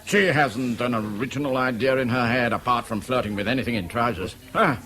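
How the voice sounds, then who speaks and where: An actor playing a middle-aged, caustic, grumbling long-serving British schoolmaster in a 1970s radio drama. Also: male voice, medium pitch, a little fast, pushed and bright, natural voice. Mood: scornful. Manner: caustic, complaining.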